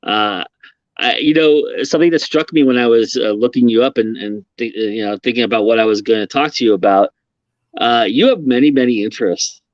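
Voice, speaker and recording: Laughing, rambling podcast host, dry sound. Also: male voice, mid-range voice, a little fast, no echo, dull audio. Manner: laughs often, rambling with many restarts. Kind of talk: casual interview chat.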